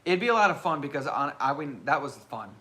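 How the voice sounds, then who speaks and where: A man in his thirties with a loud voice, hosting a casual live question-and-answer chat and rambling with self-mocking humor. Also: a little high, sing-song, fairly quick, slight background noise. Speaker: channel host.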